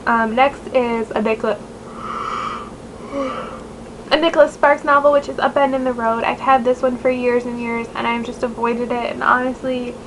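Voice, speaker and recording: A woman's voice: a little high, flat delivery, brisk, hardly any pauses. A nasal-voiced woman in her twenties, chatting casually to camera as a book vlogger, rambling with many fillers. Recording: noisy home recording.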